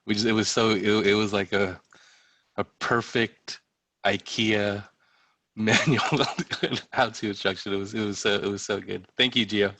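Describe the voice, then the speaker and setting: A man with a low but bright, forward voice talks casually as a director on a panel. He is effusive and repeats his opening words, and the sound is close and dry. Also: flat delivery, a little slow, dry recording. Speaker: theatre director. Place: remote video call.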